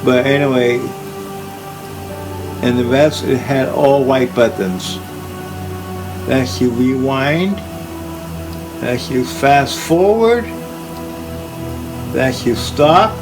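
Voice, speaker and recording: An older man casually showing equipment unscripted, plain-spoken and halting, with phrases that trail off. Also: medium pitch, music in the background, poor audio. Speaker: collector presenting his tape machines. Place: indoor room full of audio gear.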